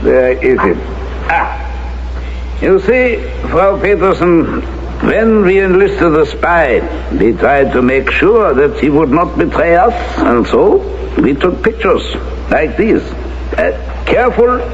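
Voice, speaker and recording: An actor playing an old German former SS officer in a radio drama, taunting in a halting, formal, condescending way with a German accent. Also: male voice, a little high, big pitch swings. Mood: mocking, contemptuous. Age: older. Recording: noisy, dull old radio recording.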